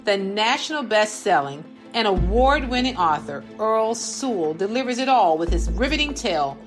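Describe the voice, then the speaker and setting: A woman with a mid-pitched voice delivers a scripted, dramatic book promo. Music plays clearly underneath her announcer read. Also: animated, normal speed.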